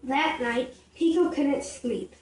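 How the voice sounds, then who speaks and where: A female storyteller with a very high, childlike-pitched voice narrates a fable in a halting read-aloud way. The recording is roomy and distant, with faint noise behind the voice. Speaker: storyteller in a puppet show.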